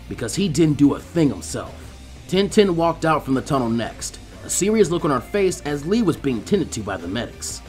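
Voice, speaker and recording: A man reads an action story as an expressive narrator. His voice is on the high side and very lively in its rise and fall, with faint music underneath. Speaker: fan fiction audiobook narrator. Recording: music behind the voice.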